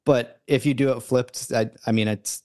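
A man's voice: mid-range voice, fairly even intonation, normal speed, a little mellow. A man co-hosting a casual sports podcast, talking loosely with lots of fillers and restarts. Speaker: podcast co-host. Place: remote recording, separate from co-host.

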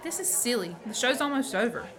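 A woman's voice: a little high, lively intonation, a little fast, fairly bright tone, some chatter behind, poor audio. A woman acting a plucky, frank young woman in an amateur radio play. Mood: puzzled, urgent. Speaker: student actor.

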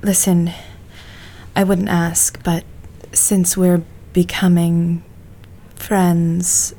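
An actress playing an anxious, withdrawn woman in an audio drama, speaking hesitantly and haltingly. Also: on the low side, level tone, warm tone, full character voice. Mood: hesitant, strained. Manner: hesitant, halting, breaking off sentences. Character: Margaret, anxious, withdrawn, fragile woman friend.

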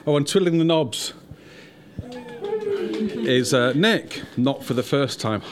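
A man's voice: fairly high pitch, wildly swooping pitch, slow, a crowd in the background. A man hosting a podcast and film event with wry, self-deprecating humour. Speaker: podcast host.

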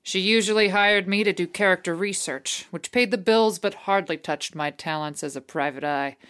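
An actress playing a wry, hard-boiled private eye in a radio play, with a dry, sardonic manner. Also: female voice, lowish voice, moderate pace, a bit bright, clear, resonant tone, natural voice. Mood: wry, world-weary. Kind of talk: radio play noir narration and dialogue.

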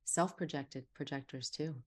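Female podcast guest, low-pitched voice, casual and reflective conversational delivery. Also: moderate pace. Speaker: podcast guest, Human Design teacher.

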